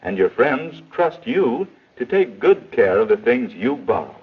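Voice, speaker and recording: A patient father gently explains things to his son, his husky voice rising and falling in lively swings. The manner is reassuring and a little lecturing. The sound is dull and muffled, like an old film soundtrack. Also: medium pitch, unhurried.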